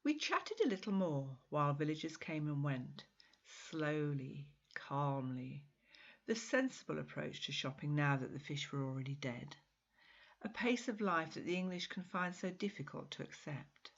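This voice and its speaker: A woman author reading her own short story in an expressive, measured, dramatic literary narration. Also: low voice, dull audio.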